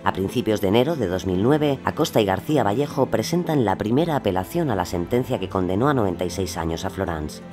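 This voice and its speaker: A man's voice: on the low side, animated, fast, hardly any pauses. A man delivering scripted documentary narration for an audio series. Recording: loud music under narration.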